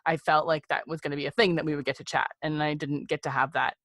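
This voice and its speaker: A woman's voice: low-pitched, level tone, fast talker, a bit bright, dry recording, clean studio sound. A middle-aged woman chatting casually as a guest in a podcast interview.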